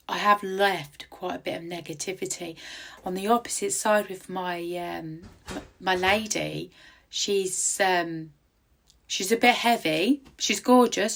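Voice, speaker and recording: A tattoo artist with a croaky voice, chatting casually in a rambling voice-over with many ums, recorded outdoors in quiet countryside. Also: female voice, lowish voice.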